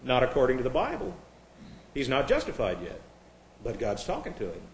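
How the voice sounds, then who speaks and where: A man's voice: medium pitch, huge pitch swings, brisk, slight background noise. A man from Indiana preaching a sermon in a conversational way, full of asides and wry jokes, and reading scripture aloud in a raised, formal voice. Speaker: pastor. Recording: echoey room.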